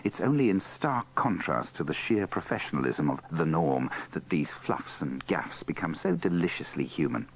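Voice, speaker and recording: A man's voice: extremely low pitch, expressive, moderate pace, few pauses. A man presenting scripted narration with a dry, tongue-in-cheek delivery. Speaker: presenter of a radio bloopers compilation.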